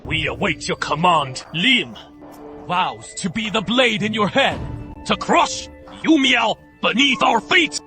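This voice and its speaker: A zealous young disciple with a high, bright, lively voice. He declaims his vows with fervor. Music plays faintly behind him. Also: takes it slowly, heavily acted. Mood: fervent. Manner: fervent, declaiming. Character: zealous young male sect disciple, Liam. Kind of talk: anime motion-comic dub.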